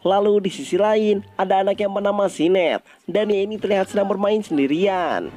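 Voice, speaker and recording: A man's voice: sits high, fairly quick, mellow. A man narrates a story recap. His delivery is colloquial and conversational, like casual scripted storytelling for an online video.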